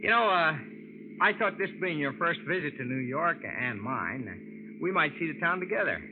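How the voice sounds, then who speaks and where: A man's voice: on the high side, big pitch swings, normal speed. An actor playing a naive young country man who stammers shyly, in a 1940s radio drama. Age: young adult. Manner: shy, stammering. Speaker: country youth traveling. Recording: tinny, noisy vintage radio broadcast.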